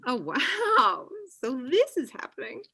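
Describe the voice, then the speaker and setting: A young woman with a bright, forward voice played by a woman at a table read. She is the blunt best friend, sarcastic yet apologetic, in a naturalistic comedy-drama script. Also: high voice, huge pitch swings, takes it slowly, vocal fry, full character voice. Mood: earnest, defensive. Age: young adult. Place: small room at home, video call. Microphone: computer video-call mic.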